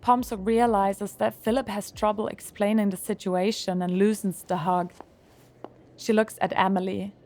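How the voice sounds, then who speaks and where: A woman reading the narration of an audio drama in a calm, even way. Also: medium pitch, normal speed.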